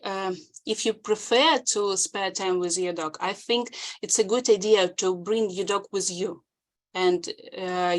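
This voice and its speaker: Slow, hesitant Russian-accented woman. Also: medium pitch, rough recording. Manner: hesitant, searching for words in non-native English. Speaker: conference speaker. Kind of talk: halting informal presentation. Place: online video call.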